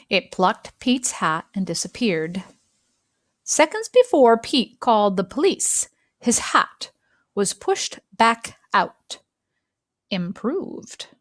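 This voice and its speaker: A woman reads a children's story aloud in an expressive narrating style, phrasing deliberately and leaving dramatic pauses. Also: medium pitch, dry recording, studio quality. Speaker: storybook reader.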